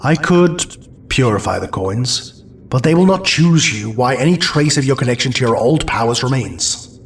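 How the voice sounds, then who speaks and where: A man's voice: mid-range voice, expressive, normal speed, lightly acted. Man acting as grave, ancient alien sage. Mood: pondering, grave. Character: ancient solemn alien sage and power-forger. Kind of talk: audio drama acting.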